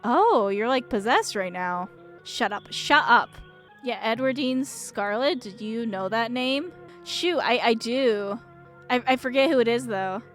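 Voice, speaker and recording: A woman playing through a game, chatting casually and reacting in an animated way. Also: fairly high pitch, a little slow, soft background music. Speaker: streamer playing a visual novel. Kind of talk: casual game playthrough with live reactions and read-aloud text.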